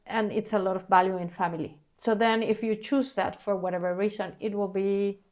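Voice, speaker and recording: A woman from Mexico hosting a casual conversation, thoughtful, often trailing off into soft murmurs. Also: mid-range voice, steady tone, a little fast. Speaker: podcast host.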